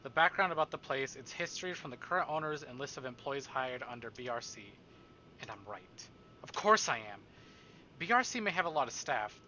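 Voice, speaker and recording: A man's voice: medium pitch, lively intonation, brisk, sharp tone, muffled recording, slight background noise. A man livestreaming a visual novel, reading it aloud dramatically and adding casual joking asides.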